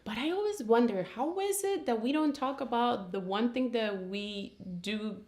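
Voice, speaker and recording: A woman's voice: fairly high pitch, normal speed, a little mellow. Candid Colombian-born woman, roomy studio. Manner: candid, giggly, many fillers and restarts. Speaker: guest sex blogger. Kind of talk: casual talk show chat. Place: studio set at a table.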